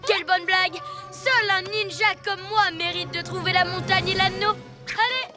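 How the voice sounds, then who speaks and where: A woman's voice: extremely high pitch, fairly even intonation, moderate pace. A woman playing a cocky child character in a French children's cartoon, brash and teasing. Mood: boastful. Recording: loud music under the voice.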